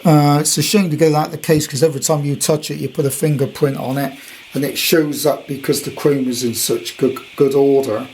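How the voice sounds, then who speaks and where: A British man in his sixties with a slightly high voice talks through an item in a casual show-and-tell. His delivery is chatty, unscripted and rambling, and there is faint noise behind him. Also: steady tone, fairly quick, a little mellow, poor audio. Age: 60s. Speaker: radio collector presenting his item.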